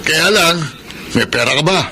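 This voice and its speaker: An actor playing a sly, chummy young buddy in a Filipino radio drama, cajoling a friend to join him. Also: male voice, on the high side, expressive, moderate pace, sharp tone, a little hiss or hum. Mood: chummy, scheming. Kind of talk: Tagalog radio drama acting.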